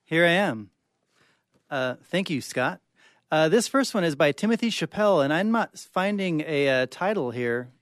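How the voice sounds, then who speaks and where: Male guest reader for short fiction, dramatic delivery, slightly high voice, dry studio sound. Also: lively intonation, measured pace, no echo. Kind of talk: dramatic short-story reading. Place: radio studio.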